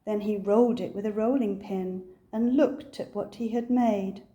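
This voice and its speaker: An older woman reading a children's picture book aloud as a storyteller, with a deliberate, expressive delivery. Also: mid-range voice, muffled recording.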